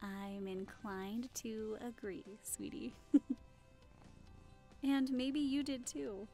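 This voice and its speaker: A woman's voice: a little high, steady tone, extremely slow, mellow, faint music behind. A woman acting in an audio roleplay as a cheerful, teasing hostess welcoming friends to a party. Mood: warm, teasing. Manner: bubbly, welcoming, playful.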